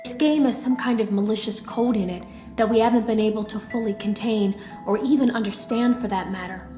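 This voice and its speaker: A woman's voice: a little high, fairly even intonation, a little fast, dark tone, natural voice. A woman playing an anxious game developer recording secret audio logs for a horror game, earnest, uneasy and confiding. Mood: urgent warning. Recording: roomy echo, music behind.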